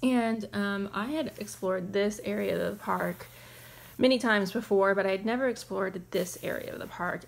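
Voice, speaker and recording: A woman's voice: medium pitch, creaky. A woman talking casually as a travel vlogger, with informal, chatty narration. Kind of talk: casual chat and voiceover narration.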